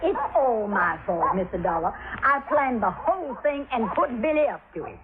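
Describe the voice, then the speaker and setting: A woman's voice: a little high, big pitch swings, normal speed, background noise. An actress playing an earnest, remorseful boarding-house landlady in a 1950s radio drama. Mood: remorseful, confessing. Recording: muffled narrow-band 1950s radio recording.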